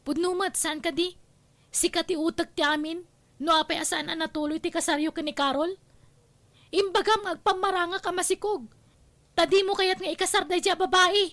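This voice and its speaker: A woman's voice: extremely high pitch, steady tone, a little fast, fairly bright tone. A woman playing a spurned, scheming young ex-lover in a radio drama, taunting and confrontational. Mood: accusing, scheming. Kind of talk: Ilocano radio drama acting.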